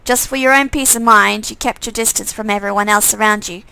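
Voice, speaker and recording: A woman's voice: mid-range voice, fairly even intonation, a little fast, creaky, sharp tone, clean tone, no room sound, low-quality recording. A woman streaming a visual novel game, reading its text aloud casually and sometimes stumbling over phrases and repeating them.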